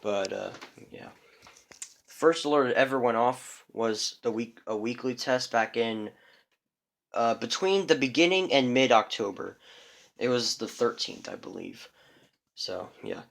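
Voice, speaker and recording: Young dude rambling about his weather radios, lots of uh and restarts, mid pitched voice. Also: lively intonation, measured pace, gravelly, fairly bright tone. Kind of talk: casual show-and-tell narration.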